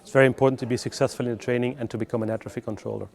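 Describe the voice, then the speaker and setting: A man giving explanatory interview answers as a recruitment specialist, with measured, hesitant phrasing in non-native English. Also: mid-range voice, fairly even intonation, gravelly.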